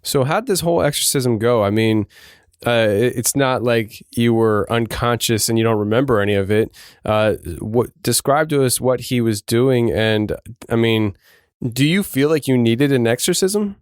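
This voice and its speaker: A man's voice: mid-range voice, normal speed, clean tone, dry recording, clean studio sound. A man hosting a podcast, asking a guest questions in a casual, conversational style. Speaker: podcast host, interviewer.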